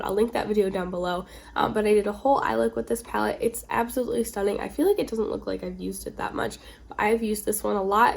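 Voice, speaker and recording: A woman's voice: mid-range voice, vocal fry, darkish tone, slightly rough. A woman getting over a cold, chatting informally with rambling asides as the host of a casual beauty vlog. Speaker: beauty video creator, host.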